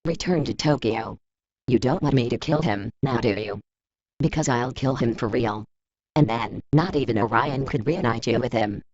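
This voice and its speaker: A man's voice: medium pitch, no echo, natural voice. Man acting a cold, taunting villain, muffled sound. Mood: taunting, menacing. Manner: condescending, menacing, deliberate. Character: cold, taunting, possessive villain scorning a rival. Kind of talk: dramatic audio drama dialogue. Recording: dull, muffled close dry recording.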